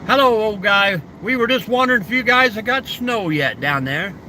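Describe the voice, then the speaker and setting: A man's voice: high voice, lively intonation, moderate pace, bright, forceful tone, slight background noise. An older man hosting a casual live stream, answering viewer comments in an easygoing, folksy way and reminiscing about the old days.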